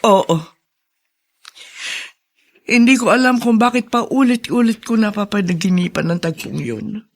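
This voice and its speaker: A woman's voice: mid-range voice, a little slow. A woman playing a weary older woman haunted by dreams, with a Filipino accent and heavy-hearted radio drama delivery. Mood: troubled. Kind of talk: Tagalog radio drama acting.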